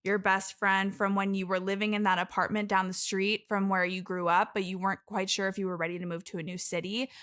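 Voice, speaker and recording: A woman's voice: medium pitch, level tone, a little fast, few pauses, a bit bright, lightly acted. A young woman playing an eager, earnest bridesmaid in an improvised comedy interview, chatty and rambling. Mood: earnest, gushing. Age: young adult. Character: eager, earnest expert bridesmaid desperate for friends. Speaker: podcast guest.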